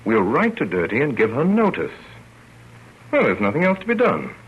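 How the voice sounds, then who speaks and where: A man playing a fond, wry, gentlemanly father telling his story with measured theatrical delivery in 1930s radio style. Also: mid-range voice, wildly swooping pitch, slightly rough. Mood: earnest, fond. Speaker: narrator. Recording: muffled, noisy old radio broadcast.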